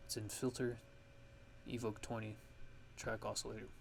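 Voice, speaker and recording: A man with a fairly low, very flat voice walks through a casual software tutorial. He rambles and pauses as he thinks aloud, with some gravel in his tone. Clear noise sits behind him. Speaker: music production tutorial host.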